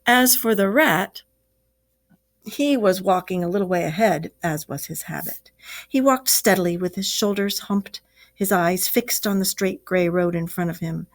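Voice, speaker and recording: A teacher reading a classic animal story aloud to children in a slow, expressive storytelling voice. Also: female voice, mid-range voice.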